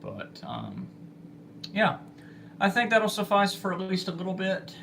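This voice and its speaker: A man chatting casually to livestream viewers as an amateur storm spotter, speaking haltingly with trailing pauses, on phone audio. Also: sits high, huge pitch swings, gravelly, a bit bright, a little hiss or hum. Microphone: phone, held or propped nearby.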